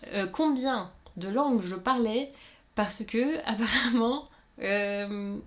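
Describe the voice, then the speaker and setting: Chatty young woman. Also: medium pitch. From Luxembourg. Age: 20s. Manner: chatty and rambling, many fillers and self-corrections. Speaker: YouTuber answering viewer questions. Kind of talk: casual chat.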